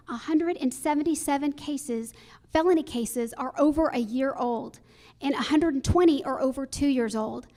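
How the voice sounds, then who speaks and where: A woman running for judge, giving measured, explanatory answers at a public candidate forum. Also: sits high, a little mellow.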